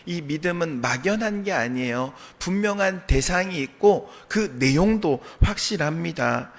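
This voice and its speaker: A man preaching a sermon as a pastor, his voice a little hoarse from a sore throat, earnestly asking the congregation questions and answering them. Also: sits high, a bit bright. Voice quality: slightly strained, hoarse voice. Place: church sanctuary.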